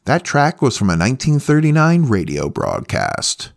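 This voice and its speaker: A man's voice: medium pitch, sing-song, normal speed, gravelly. A man presenting music as the host of a show. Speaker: podcast host.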